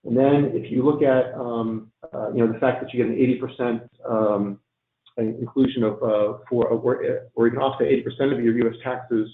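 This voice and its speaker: A man presenting on a tax webinar, halting and full of ums and restarts, a bit hoarse from losing his voice. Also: medium pitch, fairly even intonation. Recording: echoey, tinny phone-line audio.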